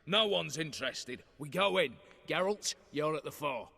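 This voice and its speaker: A man playing a ruthless gang ringleader in a fantasy video game, gravelly, curt, domineering and impatient. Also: fairly high pitch, expressive, moderate pace, bright, forceful tone, full character voice. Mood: impatient, commanding. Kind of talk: cinematic game dialogue. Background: music.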